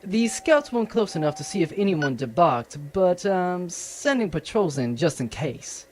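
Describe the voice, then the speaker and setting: Military commander, acted: dutiful, formal, dry delivery with varied pitch, sci-fi audio comedy, faint noise. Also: big pitch swings, normal speed, close to an everyday voice. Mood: formal, reporting.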